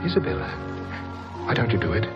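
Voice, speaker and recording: A bitter, weak soul with murder on his mind. His voice sits fairly low, gloomy and fraught. It is a muffled old radio drama with music under it. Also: sing-song, fast talker. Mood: bitter, goading. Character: bitter, morbid, weak man, broken and murderous.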